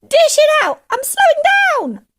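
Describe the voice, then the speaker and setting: Screechy, shrieking old granny. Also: female voice, big pitch swings, slow pace, full character voice. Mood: demanding, excited. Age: elderly figure. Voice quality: screechy, shrill. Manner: yelling exclamations, bossy and exuberant. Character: ancient scrawny screechy grandmother, wild and gleeful.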